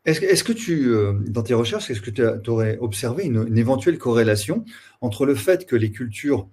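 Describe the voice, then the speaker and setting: A man hosts a webinar and asks long questions, stammering and repeating words as he goes. His voice has a husky, slightly raspy edge. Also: mid-range voice, lively intonation, fairly quick, mellow. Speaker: webinar host. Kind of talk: webinar interview.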